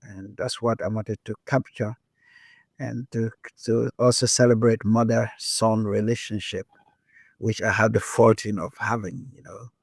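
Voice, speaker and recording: A man from Liberia, an author being interviewed, speaking thoughtfully with frequent pauses and fillers. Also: fairly low pitch, no echo. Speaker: author guest. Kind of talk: reflective interview talk.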